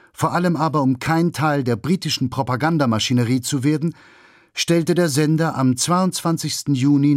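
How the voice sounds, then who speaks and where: A man reading scripted documentary narration in a measured, factual manner. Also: fairly high pitch, a little mellow, no echo, studio quality.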